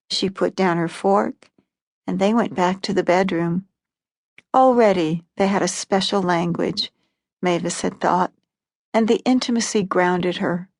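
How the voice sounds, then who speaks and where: A woman reading literary short fiction as an audiobook narrator in a calm, even storytelling manner. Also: mid-range voice, no room sound, clean studio sound.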